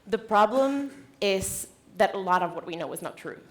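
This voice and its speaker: A woman's voice: mid-range voice, animated, moderate pace. A woman from the Czech Republic with a Czech accent, giving an informal motivational presentation to an audience in a playful, joking, conversational way. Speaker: conference keynote speaker.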